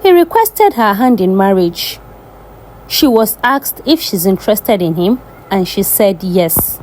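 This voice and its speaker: A woman's voice: mid-range voice, very animated, unhurried, a little mellow, a little hiss or hum, poor audio. A woman storyteller reading a scripted folktale narration.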